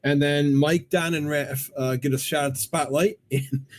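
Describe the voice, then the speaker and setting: A man's voice: fairly high pitch, fairly even intonation, normal speed. A man hosting a casual countdown chat about cartoons, curious and chatty. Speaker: podcast host.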